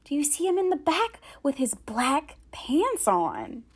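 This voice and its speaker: Animated high-voiced woman reading to children. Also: measured pace. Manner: animated, dramatic phrasing, clear enunciation for children. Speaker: children's read-aloud storyteller. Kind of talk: expressive picture book read-aloud addressed to children.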